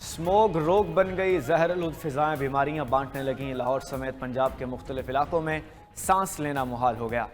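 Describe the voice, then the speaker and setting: A man's voice: medium pitch, moderate pace. A man with a Pakistani accent reading scripted news headlines as an anchor. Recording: long reverb with music underneath. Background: music bed.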